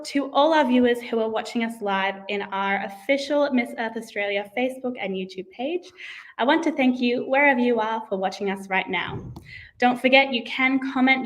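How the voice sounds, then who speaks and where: A young woman hosts a live online event over a video call. Her voice sits a little high, and her delivery is upbeat and formal, like a practiced presenter. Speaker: host. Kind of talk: scripted livestream hosting.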